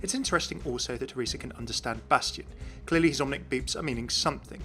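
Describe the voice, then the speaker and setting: A man's voice: medium pitch, quick pace, sharp tone, soft background music. A man giving an explanatory voice-over as the host of a game lore series.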